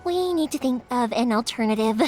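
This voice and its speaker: A woman playing a bright, friendly, energetic young woman in an anime game, chatty, girlish and expressive. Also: fairly high pitch, a little fast, slight background noise, natural voice. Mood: cheerful, chatty. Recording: music behind the voice.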